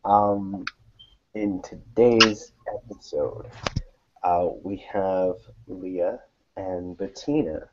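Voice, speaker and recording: A man with a deep voice hosts a tabletop roleplaying session. He takes his time, setting out the recap in short, halting phrases. The sound is slightly muffled. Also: fairly even intonation, background noise. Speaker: game master and host.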